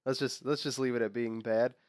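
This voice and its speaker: A man's voice: mid-range voice, steady tone, brisk, darkish tone, clear, resonant tone, dry recording, clean studio sound. A young man hosting his own channel, sounding nasal from a cold, chatting casually and rambling with fillers and restarts. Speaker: host. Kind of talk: casual unboxing and lore talk.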